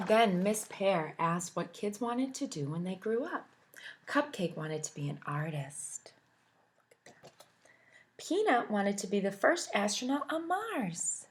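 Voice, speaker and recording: A woman teacher reading a picture book aloud to children, expressive and animated, asking her listeners questions along the way. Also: mid-range voice, takes it slowly, pauses often.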